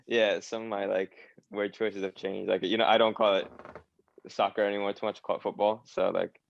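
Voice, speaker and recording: A man's voice: fairly low pitch, level tone, normal speed, creaky, dull audio. A young man from New York with a Long Island accent, a guest in a casual interview who talks hesitantly with many fillers and restarts. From New York, USA. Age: young adult. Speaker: guest, academy footballer.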